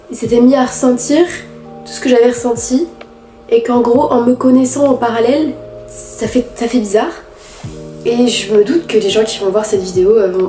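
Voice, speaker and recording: A teenage girl with a head cold, talking nasally through a candid, halting confessional vlog. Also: mid-range voice, roomy sound. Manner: halting, candid, many restarts and fillers. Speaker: vlogger.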